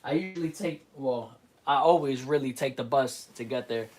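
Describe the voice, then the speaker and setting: A young man with a New Jersey accent talks easily on a livestream, answering chat in a relaxed, slangy way full of little fillers. His voice sits at an ordinary middle pitch and comes through a plain computer mic. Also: normal speed, husky. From New Jersey, USA. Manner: laid-back slangy talk with fillers. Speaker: artist livestreaming. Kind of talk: casual livestream chat, reading viewer comments.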